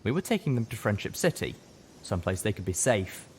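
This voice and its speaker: Expressive male storyteller, deeper voice. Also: fairly quick, slight background noise. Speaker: audiobook narrator. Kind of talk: expressive scripted story narration.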